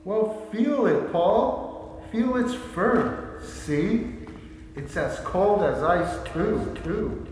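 Man acting as excitable earnest male scientist, high voice, slow dramatic swoops, 1940s radio drama, cavernous echo. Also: slight background noise, heavily acted. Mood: amazed. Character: Norman, earnest excitable male researcher, awed and insistent. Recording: very echoey hall.

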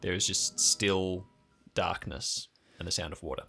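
A man's voice: deep voice, fairly even intonation, unhurried, vocal fry, bright, forceful tone, faint music behind. A man narrating improvised, descriptive scenes as game master of an adventure podcast.

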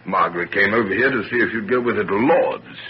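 An actor playing a kindly, concerned older gentleman father in an old radio serial, speaking formally and paternally. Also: on the low side, fast, natural voice. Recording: dull, noisy old radio recording.

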